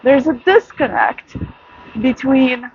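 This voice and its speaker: A woman vlogger giving a soft, reflective late-night monologue outdoors in a city, with many pauses and fillers. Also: high voice, vocal fry, dull audio, a little hiss or hum.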